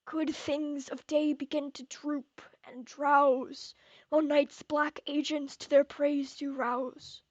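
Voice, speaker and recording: A young woman acting a brooding, tormented, murder-plotting king in Shakespearean verse, moving between hushed and declamatory delivery. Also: sits high, little pitch movement, slow pace, no echo, highs cut off. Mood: brooding, secretive. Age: young adult.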